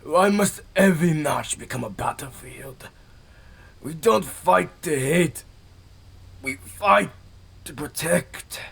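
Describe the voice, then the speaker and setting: An earnest, principled young warrior, acted by a man in an audio drama. His husky voice creaks, moving slowly with an expressive pitch range. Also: full character voice. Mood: pained pleading. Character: earnest, principled young male warrior, peace-minded brother.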